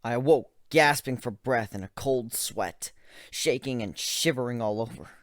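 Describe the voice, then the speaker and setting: A man's voice: mid-range voice, normal speed, a bit bright, no echo. A man giving a dramatic first-person reading of a story as its narrator, close to the microphone.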